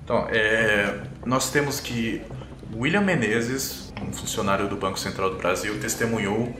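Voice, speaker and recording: A man's voice: lowish voice, measured pace, reverberant, noisy background, close to an everyday voice. A man voicing a polite, flustered police station officer in a tabletop game, deferential and hesitant.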